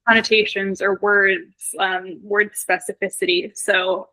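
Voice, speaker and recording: A woman hosts a relaxed podcast conversation in a crisp, bright voice. She talks eagerly and runs her thoughts together with many "like"s and false starts. Her pitch sits in the middle of the range. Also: a little slow, rough recording. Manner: eager, chatty, many likes and restarts. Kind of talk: casual conversation.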